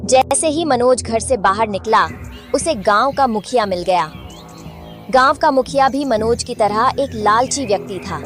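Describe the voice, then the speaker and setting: A woman's voice: on the high side, animated, measured pace, music in the background. A woman narrating a scripted moral tale for children.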